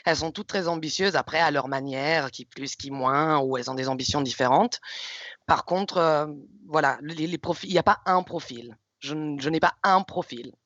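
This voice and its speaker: A woman from Luxembourg, around her thirties, speaking in an expressive, candid and emphatic way as a manager on a career panel. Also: sits low, normal speed, no room sound. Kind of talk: informal panel presentation and storytelling. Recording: slightly dull, lo-fi audio.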